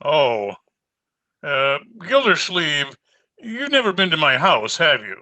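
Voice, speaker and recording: A man's voice: fairly high pitch, big pitch swings, slow, sharp tone, exaggerated voice. A man playing a genial but wry small-town mayor in an old-time radio comedy.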